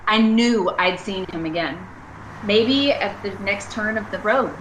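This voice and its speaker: A woman's voice: mid-range voice, unhurried, noisy background, lightly acted. A woman playing a haunted, anxious motorist in an amateur radio play, narrating her journey in an earnest, dramatic way. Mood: uneasy, brooding. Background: prominent music.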